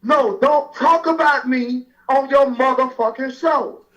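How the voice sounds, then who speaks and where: A pastor from Alabama, calling in to a radio show and angrily threatening the host. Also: male voice, extremely high pitch, a little slow, a bit bright. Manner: angry, threatening, repetitive. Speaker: caller, pastor. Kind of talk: angry phone call to a radio show. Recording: dull lo-fi phone-in sound.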